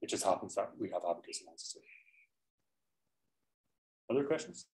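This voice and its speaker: A young lecturer, a man with a somewhat low voice, chats his way through course details, correcting himself often and explaining with examples. The sound comes from a laptop mic with lots of echo from the hall. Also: animated, moderate pace, darkish tone. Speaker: university lecturer. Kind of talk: informal classroom lecture. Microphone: laptop mic on the desk.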